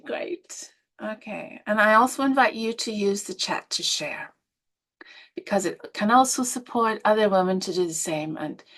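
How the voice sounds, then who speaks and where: A middle-aged woman with an Irish accent, hosting a live online webinar and encouraging participants with gentle, soft-spoken asides. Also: medium pitch. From Ireland. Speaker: webinar host and women's coach.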